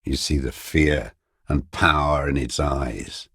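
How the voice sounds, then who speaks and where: An actor playing a bitter, haunted old communist deserter in a video game, declaiming ideology in a raspy, worn voice. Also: male voice, fairly even intonation, a bit bright, dry recording, studio quality, heavily acted. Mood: grim, proud. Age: older. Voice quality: gravelly, raspy, worn low voice. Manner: slow, haunted, ideological declaiming with accusatory edge. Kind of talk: dramatic video game voice acting.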